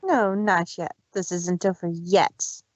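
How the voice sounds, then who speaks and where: A woman's voice: fairly low pitch, slow pace, no echo, muffled recording. A woman voicing a deranged, menacing villainous mare who refuses to lose, in a fan fiction dramatic reading. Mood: seething denial. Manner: menacing, defiant, unhinged.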